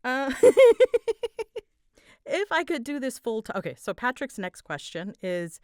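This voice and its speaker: A woman's voice: a little high, sing-song, unhurried, a bit bright, clear, resonant tone, no room sound, clean studio sound. A woman chatting casually and animatedly as she answers listener questions, with a giggly, self-deprecating manner. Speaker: hobbyist audio roleplay creator answering listener questions.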